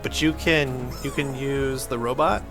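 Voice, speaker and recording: A man talks casually over gameplay with clearly audible game music behind him. His voice sits at a middle pitch. He explains things patiently and playfully, as the conversational host of a gaming video. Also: fairly even intonation, measured pace.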